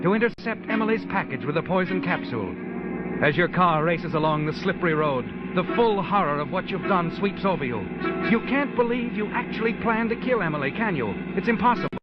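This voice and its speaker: A man's voice: on the high side, natural voice. An actor narrating an old-time radio thriller in the second person, a man with an urgent, accusing, insinuating manner. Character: ominous, accusing male narrator addressing the guilty heroine. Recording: muffled old radio recording, loud music.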